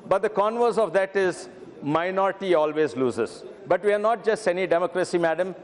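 Middle-aged guy, speech in parliament, slightly high voice, echo of a big hall. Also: expressive, a little fast. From Telangana, India. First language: Telugu. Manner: earnest, repeats "madam" often, brief stammers. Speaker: member of parliament. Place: large parliament chamber. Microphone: desk microphone. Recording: reverberant parliament chamber.

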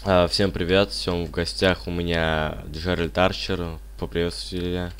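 Male interviewer, very deep voice, under the weather, terse questions. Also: flat delivery, unhurried, a little hiss or hum. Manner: short, plain questions. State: a bit ill. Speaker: interviewer, channel host. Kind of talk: casual interview.

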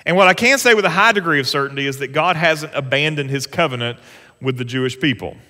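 A pastor, preaching an earnest, conversational sermon from a church stage. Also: male voice, on the high side, fast, pushed and bright. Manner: earnest, conversational preaching with rising emphasis on scripture. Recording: long church hall reverb.

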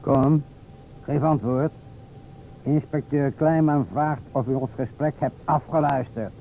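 A man's voice: medium pitch, steady tone, measured pace, close to an everyday voice. Man acting dry police inspector, tinny old radio. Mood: dry, probing. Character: police inspector calmly questioning a woman. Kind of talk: Dutch radio play acting. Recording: tinny old radio recording, faint noise.

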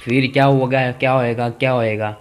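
A man's voice: mid-range voice, fairly even intonation, normal speed, clean tone. A young man doing stand-up, telling stories about his friends and acting out their lines with big gestures, recorded indoors on a fixed camera mic. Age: young adult. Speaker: stand-up comedian. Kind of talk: stand-up storytelling. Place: indoor room in front of a brick-wall backdrop.

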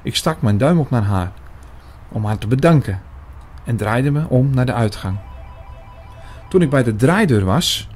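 A man narrating a radio play in the first person, measured and literary in his storytelling. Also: mid-range voice, animated, darkish tone, soft background music. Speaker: narrator and main character.

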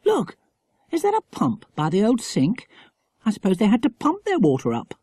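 A man voicing a brisk, inquisitive English schoolboy leader in a storybook, reasoning aloud with emphasis. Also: high voice, huge pitch swings, dark tone, breathy edge, dry recording, clean studio sound, pitched above the performer's own voice, exaggerated voice. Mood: curious.

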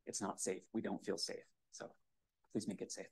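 A man's voice: low voice, level tone, moderate pace, creaky, a little mellow, a little hiss or hum. A man giving a hesitant, pleading public comment at a municipal commission meeting on a podium microphone. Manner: hesitant, many fillers, pleading.

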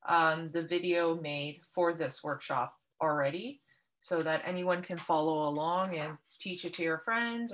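Woman from Canada, lowish voice, patient craft instructor, video call. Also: a bit bright. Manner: friendly, patient step-by-step explaining. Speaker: art workshop instructor. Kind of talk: online craft workshop instruction.

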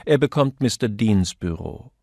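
A man playing a brisk, animated office manager. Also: fairly low pitch, creaky, dry recording, studio quality, heavily acted. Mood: businesslike. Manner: polite, instructing, businesslike.

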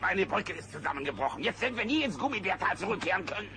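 A man voicing a grumpy, hardworking bear handyman in a gruff, fretful, complaining manner for a German children's audio drama. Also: high-pitched, sing-song, fast, a crowd in the background. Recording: noisy old cassette audio drama.

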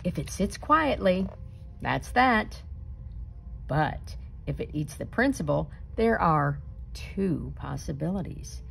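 A woman reading a children's picture book aloud expressively, with deliberate, dramatic emphasis and suspenseful pauses. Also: deep voice, very animated, creaky, a bit bright, a little hiss or hum.

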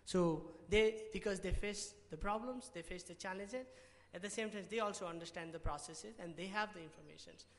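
A man's voice: sits high, a little fast, hardly any pauses. Nepali-accented man at a hall podium. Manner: hesitant, many restarts and fillers. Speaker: award presenter. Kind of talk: conference pitch talk. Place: large dim conference hall, at a podium. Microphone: podium mic. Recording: reverberant hall.

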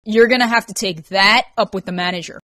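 A woman's voice: mid-range voice, brisk, pushed and bright, no room sound, lightly acted. A woman plays a young store clerk, a guy with a sarcastic, laid-back way of talking. He is an audio story character, recorded very dry. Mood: wary, placating. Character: sardonic young male pet-store cashier.

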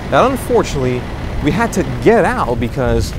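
A man's voice: a little high, big pitch swings, a little fast. A young man in his twenties gives an earnest, dramatic on-scene news report outdoors at night, wording things loosely and restarting often. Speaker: independent street reporter. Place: outdoors at night on a city street. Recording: outdoor recording with street noise. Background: street and traffic noise.

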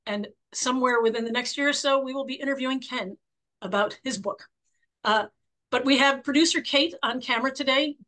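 A woman in her sixties with a croaky voice, hosting a book club interview over a video call from her home office, chatty and full of fillers. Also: high-pitched, fairly quick. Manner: warm, chatty, many fillers and restarts.

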